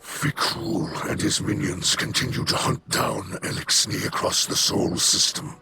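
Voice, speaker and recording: An actor playing a weary, noble alien leader in a video game, delivering formal and mournful dramatic oratory in a measured way. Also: male voice, on the low side, creaky. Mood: grim, resolute. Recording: dramatic music under the voice. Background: music.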